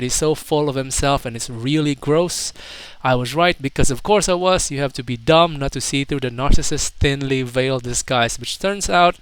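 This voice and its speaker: A man's voice: on the high side, fairly bright tone, clear, resonant tone, no echo. A young adult man casually reading out internet comments as a commentator, with mocking, incredulous, slangy asides. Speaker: video commentator.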